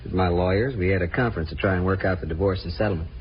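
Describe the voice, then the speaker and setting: An actor playing a cagey, irritable out-of-work performer under police questioning, brusque and guarded, in 1950s radio drama style. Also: male voice, deep voice, rapid-fire, close to an everyday voice. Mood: wary. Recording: muffled, hissy old radio recording.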